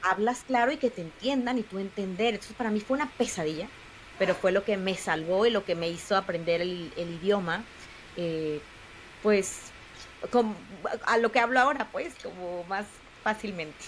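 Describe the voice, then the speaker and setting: A woman in her thirties hosting a casual podcast chat and telling her own story in a lively, animated way. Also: mid-range voice, moderate pace, a little hiss or hum, low-quality recording. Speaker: podcast host.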